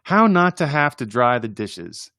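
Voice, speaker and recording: Slow-paced man casually reading children's poems aloud. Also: mid-range voice, big pitch swings, pushed and bright, dry recording, studio quality. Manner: informal, chuckling, chatty asides between readings. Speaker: reader presenting children's poems.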